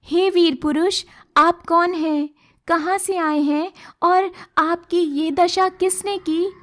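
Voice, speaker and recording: A woman voicing a kind, brave young village woman in a cartoon story, earnest and expressive. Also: takes it slowly, full character voice. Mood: concerned. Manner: earnest, expressive, high-voiced. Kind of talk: Hindi cartoon moral story dialogue.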